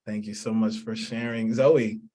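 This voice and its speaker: Even-toned man moderating a panel. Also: fairly low pitch, unhurried. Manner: appreciative, thanking and affirming speakers. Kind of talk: moderating a student panel, unscripted.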